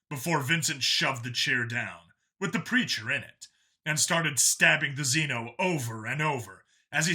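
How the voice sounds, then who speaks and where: A man's voice: on the high side, pushed and bright. A man narrating a scripted action story in a dramatic style.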